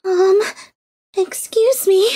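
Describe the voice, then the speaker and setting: A woman voicing a timid, apologetic high-school girl in a manga story, speaking haltingly. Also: fairly even intonation, dark tone, exaggerated voice. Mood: nervous, hesitant. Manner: hesitant, halting, stammering.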